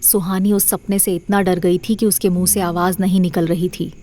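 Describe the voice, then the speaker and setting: A woman narrates a scripted horror tale. Her voice is on the low side and very even in pitch. Soft music runs behind the reading. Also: normal speed.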